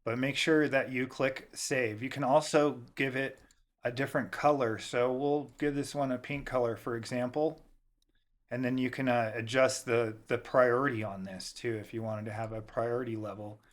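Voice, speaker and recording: A man's voice: medium pitch, fairly even intonation, moderate pace. Casual man walking through a tutorial. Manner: informal, step-by-step. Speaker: tutorial host. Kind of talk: casual software tutorial narration.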